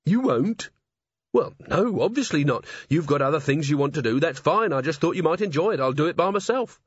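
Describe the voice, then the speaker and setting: A man's voice: on the high side, lively intonation, a little fast, husky, dry recording, pitched above the performer's own voice. A man voicing an earnest, cheerfully oblivious young male watchman. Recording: slightly dull lo-fi audio.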